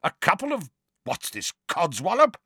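A man voicing a loud, bullying, blustering middle-aged uncle for a storybook reading. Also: deep voice, big pitch swings, unhurried, slightly rough, no echo, studio quality, exaggerated voice. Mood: angry, blustering. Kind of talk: audiobook character voice.

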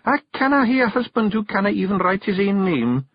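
A man voicing a small, sharp-tongued Scottish fairy clan queen who scolds and coaxes, in a dull, dry recording. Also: high voice, big pitch swings, fairly quick, slight background noise, voice pushed up. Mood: scolding. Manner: scolding, coaxing, artful.

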